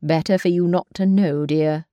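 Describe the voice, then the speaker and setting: A woman voicing a charming, careless young gentleman who teases his sister affectionately. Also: low-pitched, fairly even intonation, normal speed, no echo, natural voice. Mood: breezy. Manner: airy, teasing, affectionate.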